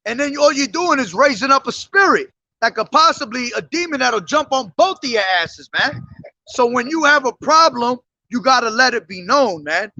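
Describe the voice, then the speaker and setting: A man street preaching who reads scripture slowly and drives his points home, calling his listeners "man", his voice hoarse from days of yelling. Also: very high-pitched, animated, no room sound, highs cut off. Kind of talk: street sermon with scripture reading. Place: outdoors on a street.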